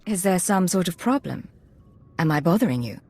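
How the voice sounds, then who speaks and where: A woman's voice: on the low side, expressive, moderate pace, darkish tone, soft background music. Cool, composed female secret agent. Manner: composed, direct. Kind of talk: video game voice acting.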